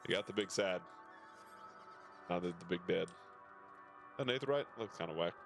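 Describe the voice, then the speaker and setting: A man's voice: low-pitched, normal speed, creaky. A man streaming a video game with casual, offhand, slangy commentary and some swearing. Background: faint game music.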